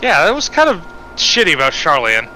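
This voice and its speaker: A man's voice: on the high side, wildly swooping pitch, brisk, pushed and bright, slight background noise, poor audio. A man chatting casually while streaming a game, laughing often and reading chat comments aloud. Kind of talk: casual let's-play chat.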